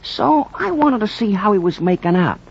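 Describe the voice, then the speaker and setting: An actor playing a slangy, folksy baseball fan who narrates theatrically in a 1940s radio drama. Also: male voice, high voice, lively intonation, normal speed, vocal fry, husky, exaggerated voice. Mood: wry, colorful. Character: slangy, colorful storyteller, wisecracking baseball fan. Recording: dull, faintly noisy 1940s radio recording.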